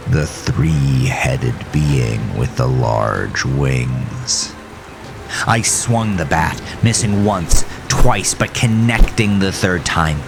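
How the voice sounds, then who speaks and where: A man narrating a first-person horror story in a deliberate, suspenseful, dramatic way with a gravelly voice. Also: rumbling low. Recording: rain and storm noise behind voice. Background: rain, storm ambience.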